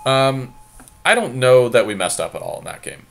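A man's voice: medium pitch, big pitch swings, normal speed, a bit bright. A man giving casual commentary on a game, thinking his moves through aloud. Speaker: podcast host and card game player.

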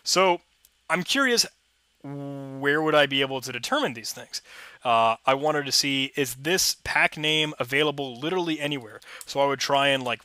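A man's voice: mid-range voice, pushed and bright, clear, resonant tone, dry recording. A young man on a headset, casually walking through a coding challenge in a chatty, enthusiastic, rambling way. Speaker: tech tutorial host. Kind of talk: casual screen-recorded walkthrough.

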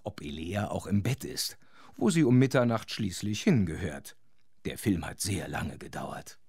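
An actor as a chatty, wry storyteller narrating a children's audio drama. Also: male voice, fairly low pitch, darkish tone, breathy edge, no echo, clean studio sound, exaggerated voice.

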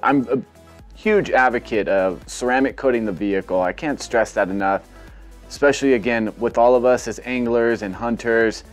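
A man casually narrating a how-to car wash video, relaxed and full of fillers. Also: medium pitch, normal speed, soft background music. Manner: relaxed, rambling, filler-heavy explaining. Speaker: car detailing vlogger. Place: outdoor car wash bay.

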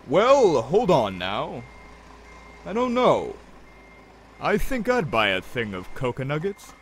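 A man acts out a good-humored male drug dealer in an audio drama. He is talkative and folksy, with a lightly high, very lively voice and a teasing way. Some vehicle noise sits behind him. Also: slow pace, exaggerated voice.

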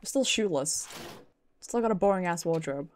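A woman's voice: lowish voice, animated, moderate pace, a little hiss or hum. A British woman giving casual, playful, sweary live commentary as she streams a video game. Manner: playful, sweary, chatting to viewers.

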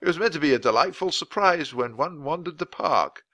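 A man playing a polite old-fashioned gentleman. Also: a little high, lively intonation, fairly quick, no room sound, studio quality, pitched above the performer's own voice. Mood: formal. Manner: proper, old-fashioned, slightly lilting. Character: tall thin older man, formal and polite.

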